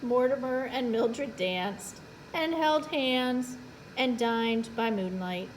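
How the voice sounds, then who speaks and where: A woman's voice: a little high, slow, slight background noise, poor audio. A woman reading a picture book aloud for young children as a storytime host, playfully adding little asides to her listeners.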